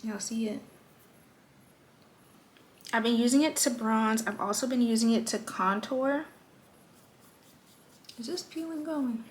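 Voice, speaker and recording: A woman's voice: on the high side, a little slow, pauses often, slight background noise. A young woman vlogger chatting playfully through her everyday makeup routine at her vanity, with joking asides. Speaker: lifestyle vlogger. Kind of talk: casual chatty makeup tutorial.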